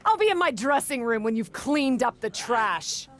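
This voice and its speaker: A woman's voice: a little high, big pitch swings, normal speed, over music. An actress playing a brash, vain young woman who manages wrestling shows, with a smug, sardonic, theatrical delivery in a video game cutscene. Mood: haughty, fed up.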